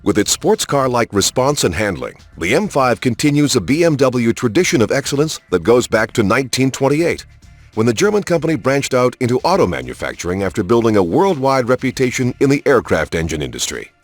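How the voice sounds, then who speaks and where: A man with a husky voice delivers polished promotional narration for a car showcase. His pitch moves in broad, animated sweeps, and each phrase is given an announcer's emphasis. Music plays behind him. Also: a little fast, dry recording. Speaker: narrator of car showcase videos in a racing game.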